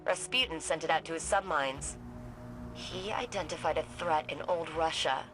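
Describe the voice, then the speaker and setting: A woman's voice: sits low, normal speed, music in the background, close to an everyday voice. A woman acting an earnest, puzzled young investigator in a video game, thinking aloud in a conversational way as she briefs soldiers. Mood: intent.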